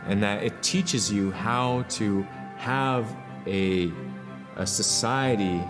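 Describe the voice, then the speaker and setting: A man in a documentary interview, recalling things in a reflective, unhurried way. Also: lowish voice, big-room sound. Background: music.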